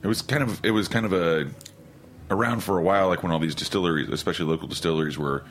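Chill cohost dude, really low voice, easygoing rambling talk. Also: male voice, fast talker, a little hiss or hum. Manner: laid-back, says "man" a lot. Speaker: podcast co-host. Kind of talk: casual interview chat.

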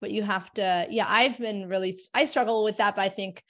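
A woman's voice: mid-range voice, steady tone, fairly quick. A woman hosting an interview podcast, chatty and eager, with many short agreeing replies. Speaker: podcast host, arthritis advocate. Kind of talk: conversational interview.

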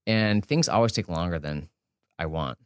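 A man with a fairly low voice speaks casually as an interview guest. He thinks out loud, often breaking off a sentence to rephrase it. The sound is dry and close, with very little room. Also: animated, moderate pace, clean tone, dry recording. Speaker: podcast guest, entrepreneur and author.

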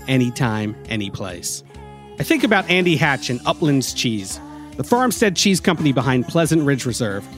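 A man reading a scripted sponsor message in an upbeat way. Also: fairly high pitch. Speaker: sponsor announcer. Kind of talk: scripted ad read. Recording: music bed under the voice. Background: faint guitar music.

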